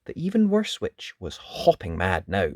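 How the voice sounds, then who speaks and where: A storyteller reading a children's picture book aloud in an expressive, dramatic way. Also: low voice, measured pace, no echo, studio quality. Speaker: storybook reader. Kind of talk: animated children's read-aloud narration.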